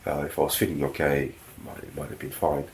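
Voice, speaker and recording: A man's voice: very deep voice, flat delivery, quick pace, vocal fry, slight background noise. A man with a blocked nose talking casually to camera as a motorcycle travel vlogger, tired and laid-back with lots of fillers. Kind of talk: casual vlog talk to camera.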